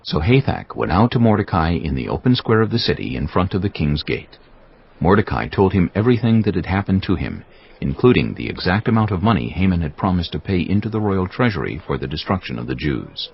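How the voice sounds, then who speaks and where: A man narrating scripture dramatically from a script, with a gravelly voice and a solemn, deliberate manner. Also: low-pitched, big pitch swings, lo-fi sound, slight background noise.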